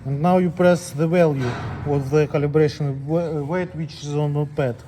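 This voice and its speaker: Higher-voiced man giving step-by-step instructions, mellow tone. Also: fairly even intonation, normal speed, slight background noise. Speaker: scale technician demonstrating calibration. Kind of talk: hands-on tutorial, unscripted step-by-step instructions.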